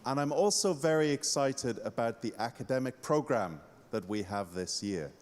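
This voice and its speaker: A man's voice: mid-range voice, animated, normal speed. A man from Austria, a university lecturer, giving a friendly, joking welcome speech. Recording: hall reverb.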